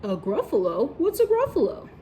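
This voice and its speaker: A woman puts on a curious, inviting storybook owl that spooks easily. The voice is high and exaggerated, swooping widely. There is faint noise behind it. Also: wildly swooping pitch, normal speed, mellow.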